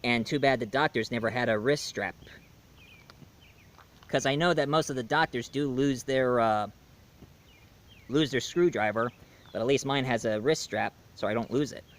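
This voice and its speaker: A man's voice: mid-range voice, normal speed, long pauses. A man talking casually to camera, announcing his own audio drama in a rambling, enthusiastic way with frequent restarts. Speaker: YouTube reviewer.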